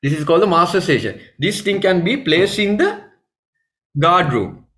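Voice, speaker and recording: Male technical trainer, Sri Lankan accent, slightly high voice, explanatory webinar delivery with halting phrasing. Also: animated, fairly bright tone. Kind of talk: live webinar training presentation.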